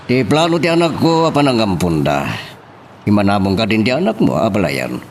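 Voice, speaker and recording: A man's voice: on the low side, fairly quick. A man with a Filipino accent playing a genial, curious father-in-law in an amateur audio drama, with noise behind him. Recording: steady background noise.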